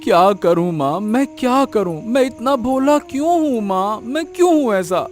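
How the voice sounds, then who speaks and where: A man's voice: very high voice, lively intonation, normal speed, soft background music. A man playing a naive, honest village vegetable seller in a cartoon, earnest and plaintive, often repeating himself. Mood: hurt, pleading. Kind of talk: Hindi cartoon moral story acting.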